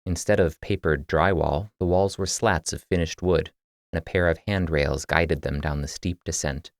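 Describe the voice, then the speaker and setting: Deep-voiced male audiobook narrator. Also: steady tone, normal speed, no echo, studio quality. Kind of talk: scripted fiction narration.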